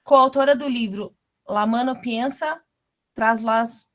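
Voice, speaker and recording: A woman moderating an academic book launch, introducing a guest speaker in a measured way with frequent tag questions. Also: fairly high pitch, a bit bright.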